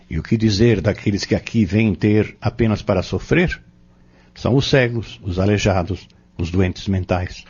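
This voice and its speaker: A low, animated Brazilian male mentor acted by a man, earnest and didactic. He lays out his lesson in measured phrases and pointed questions, as in an audio drama, on a dull recording. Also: breathy edge. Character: earnest male Spiritist teacher explaining doctrine to youths.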